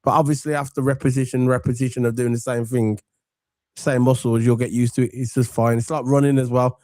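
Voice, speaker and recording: Croaky English man hosting football chat. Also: mid-range voice, flat delivery, fairly quick, no echo. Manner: chatty, rambling, gives shout-outs to viewers. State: croaky from a cold. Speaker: football podcast host. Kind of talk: casual chat.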